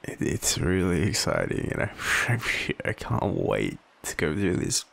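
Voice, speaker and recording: An Australian man playing a vulnerable, devoted young partner in an intimate audio roleplay, hesitant and halting, with a husky voice. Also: low voice, huge pitch swings, heavily acted. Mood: tender, nervous. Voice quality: husky, gravelly. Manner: hesitant, halting, soft-spoken confession.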